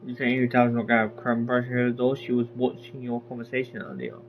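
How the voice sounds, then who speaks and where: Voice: mid-range voice, brisk. Dull-sounding, offhand gameplay commentator. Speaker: game streamer. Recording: dull lo-fi mic, faint music.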